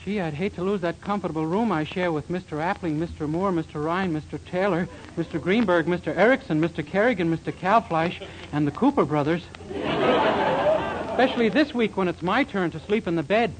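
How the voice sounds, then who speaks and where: A man's voice: sits high, fairly quick, lightly acted, rough recording. Actor as naive, boyish young American man. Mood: sheepish, earnest. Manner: guileless, earnest, boyish. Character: naive, bashful young man, earnest sitcom hero. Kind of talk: 1940s radio sitcom acting. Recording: hissy 1940s radio recording.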